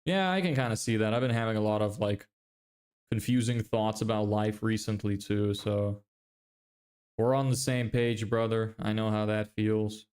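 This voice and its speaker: A man's voice: fairly low pitch, fairly even intonation, moderate pace, a little mellow, clean tone. Young man, from Lithuania, American-sounding accent, casual streamer chat, self-deprecating, swears. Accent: American-sounding English. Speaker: livestreamer building a business. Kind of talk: casual livestream chat reading viewer comments.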